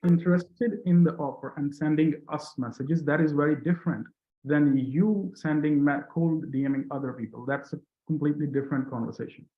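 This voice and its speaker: A man who speaks English as a non-native language, telling his coaching results earnestly with halting restarts. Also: on the high side. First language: not English. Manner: earnest, halting restarts, rising on key numbers. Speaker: coaching client, interview guest. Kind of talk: testimonial video call chat. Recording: echoey room over a tinny call line.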